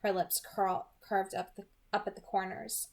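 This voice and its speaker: Female amateur narrator, medium pitch, noticeable vocal fry, scripted fiction read-aloud. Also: flat delivery, normal speed. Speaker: amateur audiobook reader.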